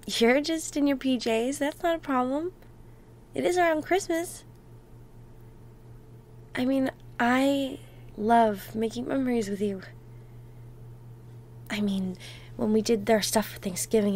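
A woman playing an affectionate, playful girlfriend in an ASMR roleplay, talking softly and intimately, sometimes close to a whisper. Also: fairly high pitch. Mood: tender, reflective. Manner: soft, affectionate, unhurried, dropping into near-whispers.

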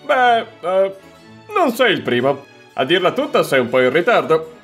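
Man acting cartoon lab professor, high-pitched, lively intonation, hesitant, music behind. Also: moderate pace. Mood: apologetic, hesitant. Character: young male lab professor, hesitant Pokémon scientist. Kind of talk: parody cartoon dub.

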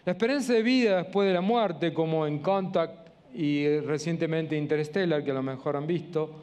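A man in his fifties with an Argentine accent from Córdoba, giving a measured, earnest public science talk with frequent hesitations, in an auditorium. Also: a little high, a little mellow. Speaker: guest lecturer. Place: auditorium with a seated audience.

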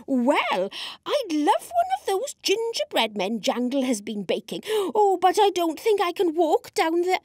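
A woman's voice: very high voice, wildly swooping pitch, measured pace, darkish tone, no room sound, studio quality, pitched above the performer's own voice, heavily acted. A woman voicing a greedy, sly male animal who whines and complains in an exaggerated way.

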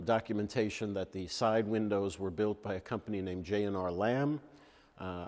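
An older man, a church elder, explaining church history at an unhurried pace with frequent ums. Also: sits low, darkish tone. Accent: New York. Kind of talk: interview answers on church history. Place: large church sanctuary with Christmas tree. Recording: long reverb of a large church.

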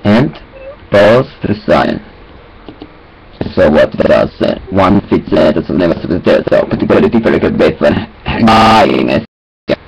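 A man's voice: sits low, animated, measured pace, creaky, dull audio, background noise. A young man mumbling casually to himself at a webcam in a small room, in disjointed phrases with sing-song filler sounds. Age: young adult. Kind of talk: rambling casual self-talk to webcam. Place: small cluttered room indoors.

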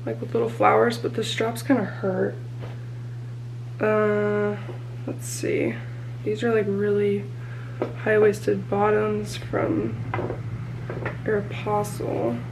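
A woman's voice: medium pitch, darkish tone, slight background noise, rough recording. A woman in her twenties chatting casually to the camera as she tidies her apartment and packs a bag. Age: 20s. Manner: chatty, thinking aloud while tidying and packing. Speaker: vlogger. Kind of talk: casual vlog chat. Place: small apartment bathroom and bedroom, indoors.

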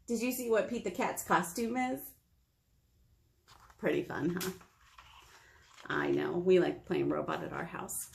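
An American woman in her thirties leading a children's storytime, reading aloud and chatting to young listeners in an encouraging, expressive manner. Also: mid-range voice, vocal fry. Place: small indoor meeting room.

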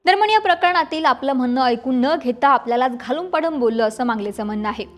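A woman news presenter delivers a scripted report. Her voice sits clearly high as she narrates the story. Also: animated, quick pace.

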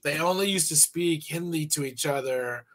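A man's voice: fairly high pitch, moderate pace, fairly bright tone. A man hosting a casual interview over a video call from a room at home, chatty and enthusiastic. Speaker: interview host.

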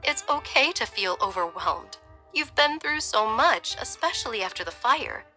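Woman doing an anxious, caring middle-aged wife, pleading and bright-voiced. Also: lively intonation, moderate pace, soft background music. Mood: worried. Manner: pleading, higher and gentle.

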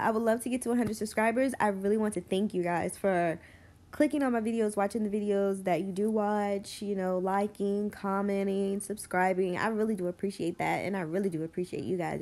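A woman's voice: mid-range voice, fairly quick, a little mellow. A young woman with a stuffy, congested voice, rambling casually with lots of fillers as she talks through a nail tutorial.

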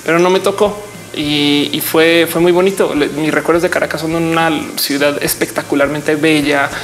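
A woman's voice: low-pitched, fairly even intonation, moderate pace. A woman in her thirties chatting casually to camera as a YouTuber, informal and reflective, wandering off on tangents. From Colombia. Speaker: YouTuber host answering viewer questions. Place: indoors, small office.

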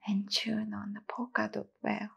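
A woman acting a despairing, resigned grown daughter in a slow, halting stage monologue, with a husky, breathy voice. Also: medium pitch, monotone, fairly bright tone, highs cut off, full character voice. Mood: hushed, wistful. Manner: halting, deliberate, long pauses between short phrases. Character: despairing, resigned adult daughter confronting her mother.

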